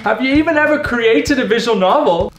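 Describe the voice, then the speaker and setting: A man's voice: extremely high pitch, expressive, fairly quick, fairly bright tone, soft background music, voice pushed up. A young man playing a scolding, mocking friend with a needling, exclamatory manner. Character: scolding, mocking male friend barging in with "laddie".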